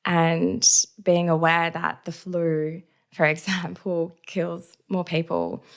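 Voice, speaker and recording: A woman with a raspy, hoarse voice from a recent illness, talking reflectively as a podcast guest with many soft fillers. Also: on the low side, level tone, slow pace. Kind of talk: casual interview chat.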